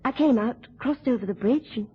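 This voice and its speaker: An anxious female accomplice in a radio drama. Her fairly high voice is very breathy and hesitant, confiding every detail through a dull, lo-fi recording. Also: a little fast. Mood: shaken, anxious. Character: anxious young woman, uneasy accomplice in a crime.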